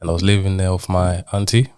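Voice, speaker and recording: A man's voice: sits low, little pitch movement, fast talker, darkish tone, clear, resonant tone, no echo, clean studio sound. A young man from Zimbabwe who grew up partly in England, talking casually as a podcast guest and using British street slang with frequent check-in tags. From Zimbabwe, moved to England in childhood. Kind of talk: casual personal storytelling in an interview.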